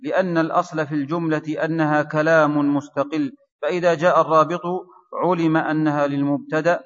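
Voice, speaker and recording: A man reads an Arabic grammar text aloud in a steady, measured recitation for his teacher. His voice sits a little high. He sounds set back from the mic, with a dull, lo-fi tone and a long hall echo. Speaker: student reading the text aloud.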